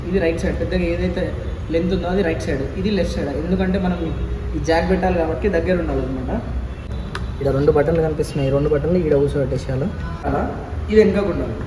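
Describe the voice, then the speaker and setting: A man's voice: on the high side, echoey room, long reverb tail, background noise. A young man, thinking aloud as he fits a helmet intercom in a casual how-to vlog. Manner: chatty, thinking aloud while working with his hands. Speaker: vlogger installing a helmet intercom.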